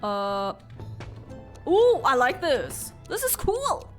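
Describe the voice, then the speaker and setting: A woman streamer giving casual, animated and playful live reactions to a game, full of exclamations. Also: high voice, extremely slow. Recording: loud game music behind the voice.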